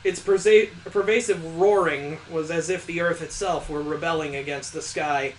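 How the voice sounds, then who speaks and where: A high-pitched male let's player reads a visual novel aloud, dropping in sarcastic commentary as he goes. There is faint noise behind his voice. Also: steady tone, normal speed, rough recording. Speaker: video game let's player. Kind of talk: reading a visual novel aloud with joking asides.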